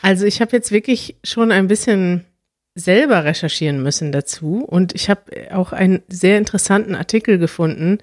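A woman's voice: mid-range voice, moderate pace, a little mellow, no echo. Chatty woman co-host, dry sound. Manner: chatty, thinks aloud, explains at length. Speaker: podcast co-host. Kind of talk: casual chat.

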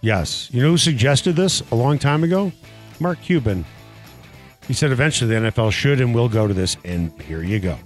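A male sports radio host in a studio, talking in a blunt, passionate way. His voice is loud and mid-pitched, and faint music plays behind the chat. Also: expressive, brisk. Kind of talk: casual sports radio banter.

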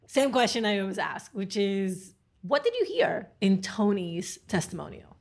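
Woman, podcast host, gravelly voice, earnest reflective questions. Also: medium pitch, very animated, moderate pace, darkish tone. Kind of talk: podcast interview.

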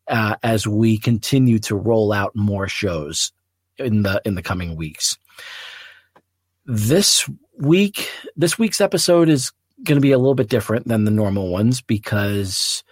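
A man hosting a podcast, reflecting alone in an earnest, measured way with pauses between short phrases. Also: lowish voice, no room sound, studio quality. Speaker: podcast host. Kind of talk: solo reflective podcast talk.

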